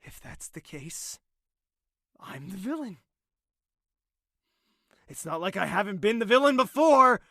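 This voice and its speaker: Fantasy audio drama: defiant, embittered prince played by a man, creaky and unhurried, sardonic, hushed one moment and explosive the next. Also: high voice, big pitch swings, no room sound, studio quality, heavily acted. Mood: bitter, hurt. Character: embittered crown prince, proud self-confessed villain, wounded and defiant.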